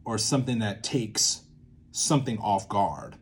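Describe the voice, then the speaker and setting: A man's voice: fairly low pitch, expressive, unhurried, slight background noise. A man giving an informal, improvised tutorial, rambling playfully and thinking aloud with asides to himself. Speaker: theatre maker presenting a creative method series.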